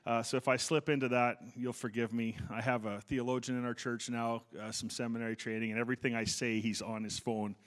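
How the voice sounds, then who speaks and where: A middle-aged male pastor preaching a conversational sermon in church, self-deprecating and warm-humored, with frequent restarts. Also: mid-range voice, little pitch movement, fairly quick, few pauses.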